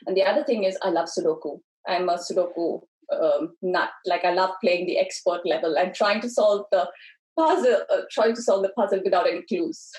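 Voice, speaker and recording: A woman's voice: lowish voice, fairly quick, a little mellow, poor audio. Chatty woman from India with many ums. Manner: chatty, with frequent ums and restarts. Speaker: podcast guest. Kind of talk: casual interview chat.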